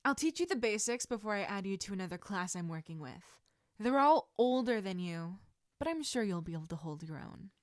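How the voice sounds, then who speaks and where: A woman voicing an encouraging adult female martial arts teacher in measured, instructive story dialogue. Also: mid-range voice, animated, no echo, clean studio sound, lightly acted. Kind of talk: audiobook-style character dialogue.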